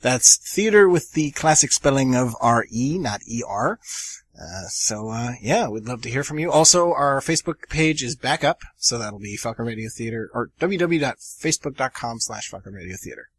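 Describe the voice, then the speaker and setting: A young man hosting a college radio theater show, chatty and energetic with plenty of fillers. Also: medium pitch, moderate pace, husky. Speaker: host.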